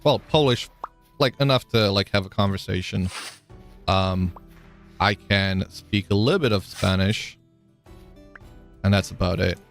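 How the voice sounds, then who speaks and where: Low-voiced man streaming a game. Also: a little slow, gravelly, long pauses, soft background music. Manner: relaxed, thinks aloud with frequent ums, answers viewer chat. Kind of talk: casual live-stream chat while playing.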